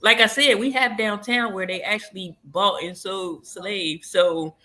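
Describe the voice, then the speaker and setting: A woman's voice: medium pitch, fairly even intonation, measured pace, pushed and bright. A woman guest on a livestream, chatting in a laid-back, colloquial way. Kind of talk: casual chat.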